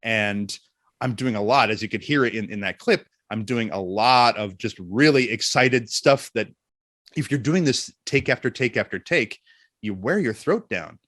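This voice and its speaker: Guy voice actor getting interviewed, expressive and jokey, kinda self-deprecating, really dry sound no room. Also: on the low side, normal speed, dry recording. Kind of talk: animated interview storytelling.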